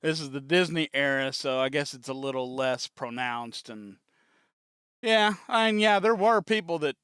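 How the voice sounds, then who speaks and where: A man's voice: medium pitch, moderate pace, fairly bright tone, clear, resonant tone, no echo, clean studio sound. A man giving casual fan reaction commentary as host of a reaction video.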